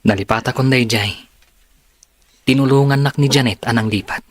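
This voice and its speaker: A man's voice: mid-range voice, fairly even intonation, normal speed, dry recording, natural voice. An actor playing an evasive, matter-of-fact working man in an Ilocano radio drama. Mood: guarded, firm.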